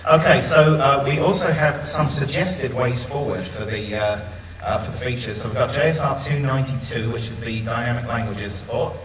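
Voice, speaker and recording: A man's voice: mid-range voice, normal speed, few pauses. A man co-hosting a live podcast panel in front of a conference audience, polling the room and running through the news. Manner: runs the discussion, polls the audience. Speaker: podcast co-host. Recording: roomy hall, camera far off, noisy.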